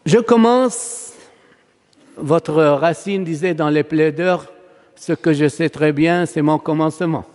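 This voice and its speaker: Slow Palestinian priest preaching in reverberant church. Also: male voice, on the high side, a little mellow. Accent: Palestinian Arabic. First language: Arabic. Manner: oratorical, emphatic, with rhetorical questions and pauses. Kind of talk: sermon. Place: large church, preaching to a congregation. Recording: long reverberant church hall echo.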